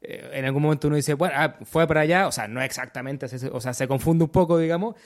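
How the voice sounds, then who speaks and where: Brief: man, storyteller guest, slightly high voice, halting and loose in conversation. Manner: hesitant, many restarts and fillers. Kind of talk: casual panel conversation.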